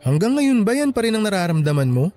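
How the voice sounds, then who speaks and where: A man acting an encouraging, teasing young college graduate with an earnest, animated delivery in a radio drama. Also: high-pitched, fast talker, faint music behind. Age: young adult.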